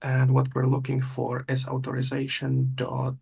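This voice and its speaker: A man leading a workshop with a live software demonstration, thinking aloud in a halting way and murmuring to himself as he works. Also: mid-range voice, monotone, vocal fry, husky. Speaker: workshop instructor.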